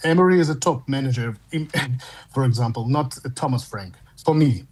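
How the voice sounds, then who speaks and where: A man with a Russian accent, calling in to a fan show, speaking English as a second language in halting phrases with frequent restarts. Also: mid-range voice, darkish tone. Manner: halting, repeats words and restarts while searching for English. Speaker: caller. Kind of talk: phone-in fan chat.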